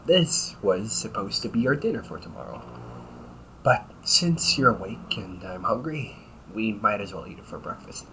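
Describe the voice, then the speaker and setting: Low voice guy acting as a cocky tired immortal vampire bro, grumbly n sarcastic, pitch jumps around, audio rp. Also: expressive, normal speed, a bit bright, slight background noise, poor audio. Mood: casual, explaining. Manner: sarcastic, grumbling, often chuckling. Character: cocky, weary, centuries-old male vampire brother, young-sounding. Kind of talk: audio roleplay, conversational one-sided dialogue.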